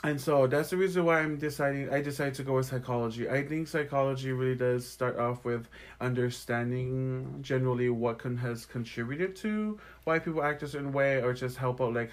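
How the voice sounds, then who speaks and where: A young man from American Samoa speaks as an interview guest at an average male pitch. He explains himself earnestly, giving reflective, personal answers in a conversational way. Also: brisk. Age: young adult.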